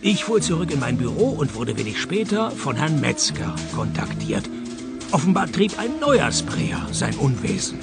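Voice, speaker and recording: Dry sarcastic radio play detective, gravelly, loud music behind him. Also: male voice, fairly high pitch, moderate pace, exaggerated voice. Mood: dry, deadpan. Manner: dry, deadpan. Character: laconic, sarcastic male private detective narrating his case. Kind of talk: radio play acting with first-person narration.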